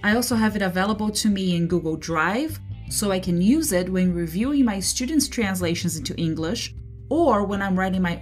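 Female podcast host, Portuguese native speaker. Medium pitch, expressive range, clear explanatory delivery. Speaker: translator. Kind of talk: solo podcast monologue.

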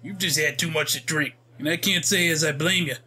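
Man as chatty, high-voiced barfly. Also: brisk, slightly rough, heavily acted. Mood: sympathetic. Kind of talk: comic-book dub voice acting. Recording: voice under loud music. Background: loud music.

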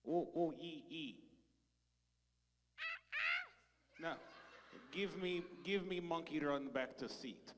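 Man doing a bumbling foreign ex-scientist, broken English, deadpan, sighing, slow, echoey hall. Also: on the high side, noisy background, lightly acted. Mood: playful. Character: bumbling foreign male ex-scientist in a church skit. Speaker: children's skit performer. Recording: long hall reverb.

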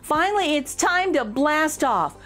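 A woman reading a picture book aloud for children in an expressive, encouraging way, addressing young listeners directly with clear emphasis. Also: high voice, a little slow, soft background music. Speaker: children's storytime reader.

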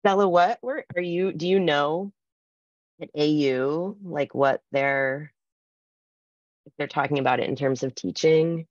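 A middle-aged woman presenting an informal online workshop, thinking aloud in a halting way as she reads out responses. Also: sits low, dry recording. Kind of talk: informal conference workshop talk, reading out poll responses. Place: video call from a room with bookshelves. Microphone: computer mic on video call. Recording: slightly dull video-call audio.

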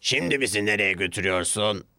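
A man's voice: lowish voice, animated, quick pace, gravelly, bright, forceful tone, no room sound, clean studio sound, soft background music, heavily acted. A man voicing a stern, impatient railway controller in a children's cartoon. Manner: stern, commanding.

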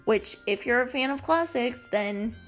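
A young adult woman hosting a podcast, chatting casually in informal, halting, tentative phrases. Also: fairly high pitch, animated, soft background music. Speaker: podcast host. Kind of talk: casual interview chat.

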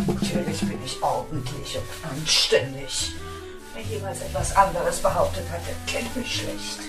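A woman's voice: low-pitched, animated, normal speed, poor audio. An actress playing an eccentric, defiant, free-spirited mother in a children's radio play, with a theatrical, flippant manner. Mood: mock-proper. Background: music.

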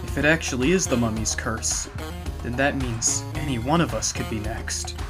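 A woman's voice: very deep voice, normal speed. A woman voicing a tough, practical teenage schoolboy in an amateur anime fan dub. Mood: serious. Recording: music under the voice.

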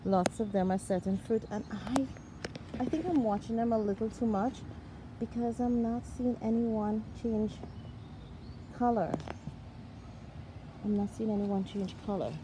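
A woman giving a casual walkthrough of her backyard garden, chatty and excitable and thinking aloud, recorded outdoors on a handheld phone. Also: a little high, measured pace, long pauses. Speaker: gardening vlogger. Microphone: handheld phone camera. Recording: outdoor ambience with noise.

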